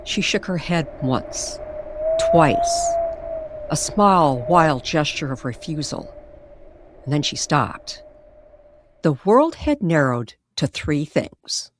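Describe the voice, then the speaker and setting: A woman reading a dramatic scripted paranormal story with suspenseful pauses and careful, measured phrasing. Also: low-pitched, wildly swooping pitch, noisy background. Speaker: storyteller narrator.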